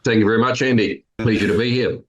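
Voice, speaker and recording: A man's voice: on the low side, quick pace. A man in his fifties with a New Zealand accent, a farmer talking steadily and matter-of-factly as a podcast guest. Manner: steady, matter-of-fact, with frequent ums. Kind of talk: conversational interview.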